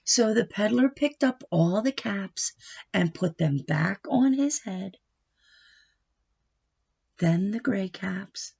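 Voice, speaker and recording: A woman reading a picture book aloud to children, expressive and coaxing, pausing to ask them questions, on a dull home recording. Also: medium pitch, creaky, breathy edge. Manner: expressive, coaxing, pauses to question and prompt young listeners. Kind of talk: animated children's read-aloud with questions to the listeners.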